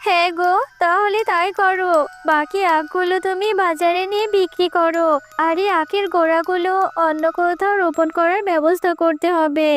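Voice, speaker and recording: A woman's voice: extremely high pitch, steady tone, normal speed, faint music behind. A woman voicing a plaintive fox wife in an animal cartoon. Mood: worried, suspicious. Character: small, high-voiced cartoon vixen wife, plaintive. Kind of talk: scripted cartoon dialogue.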